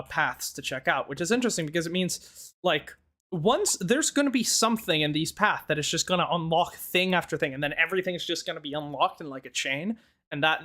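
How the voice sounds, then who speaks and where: A man gives casual video game commentary in a slightly hoarse, strained voice. He thinks aloud as he goes, rambling in a conversational way as the host. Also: a little high, bright, forceful tone.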